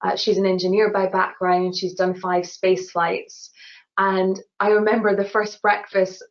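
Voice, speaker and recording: Glaswegian woman speaking in a flat, even tone. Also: mid-range voice, unhurried. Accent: Scottish (Glasgow). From Glasgow, Scotland. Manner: earnest, many restarts and "you know" fillers. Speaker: award-winning engineer guest. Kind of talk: webinar Q&A answers. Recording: dull lo-fi webinar audio.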